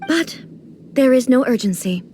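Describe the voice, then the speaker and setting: A woman playing an aloof, solemn ruler deity in a video game, speaking in a formal, measured, matter-of-fact manner. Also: sits high, expressive, warm tone, a little hiss or hum. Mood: deliberate. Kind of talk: English video game voice acting.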